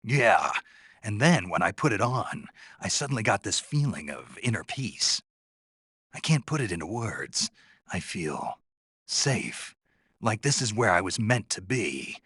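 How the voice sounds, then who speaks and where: A gruff American covert soldier from a video game, very low and husky. He is terse and earnest, with a deadpan naivety, yet his pitch moves in lively swings. The sound is dry and close. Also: a little slow, dry recording, exaggerated voice. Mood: earnest wonder. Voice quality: gravelly, husky. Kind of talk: video game voice acting, radio dialogue.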